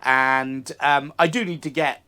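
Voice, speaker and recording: A man's voice: medium pitch, fairly even intonation, measured pace, pushed and bright. A man in his forties, interviewed about his yacht, talking casually with many fillers and restarts. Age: 40s. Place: outdoors on a moored yacht.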